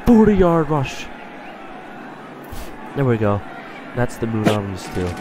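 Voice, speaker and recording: A man with a stuffy voice from a cold, commentating his own video game play and getting excited on big plays. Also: medium pitch, slow, music in the background. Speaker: gaming channel host.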